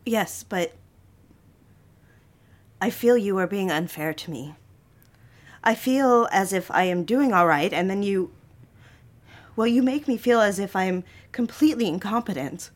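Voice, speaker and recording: A woman's voice: medium pitch, measured pace, long pauses, natural voice. An actress voicing an earnest, ambitious young female secretary with wounded feelings in an audio drama. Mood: hesitant, hurt. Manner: expressive, earnest. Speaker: actress narrating and playing lead. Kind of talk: dramatized first-person narration and dialogue.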